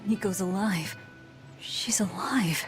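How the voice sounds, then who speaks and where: A woman's voice: mid-range voice, slow pace, close to an everyday voice. A woman voicing a brooding, haunted young warrior in a dramatic anime-style game. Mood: stunned. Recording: music clearly behind the voice.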